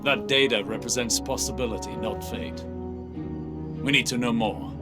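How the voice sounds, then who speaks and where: An actor playing a cryptic, composed older mentor and strategist in a video game, speaking tersely and deliberately. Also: male voice, medium pitch, lightly acted. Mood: firm, guarded. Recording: loud music behind. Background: game soundtrack music.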